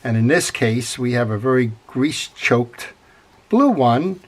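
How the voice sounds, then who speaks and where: An older man with a head cold, congested, hosting a relaxed, rambling how-to on servicing a fishing reel at his workbench. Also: medium pitch, measured pace. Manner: relaxed, rambling with frequent fillers. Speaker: fishing reel repair channel host. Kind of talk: casual how-to narration. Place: workshop bench.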